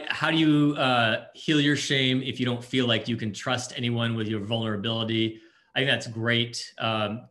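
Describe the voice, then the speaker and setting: A man answering audience questions at a conference session, earnest and gentle, with frequent fillers. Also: mid-range voice.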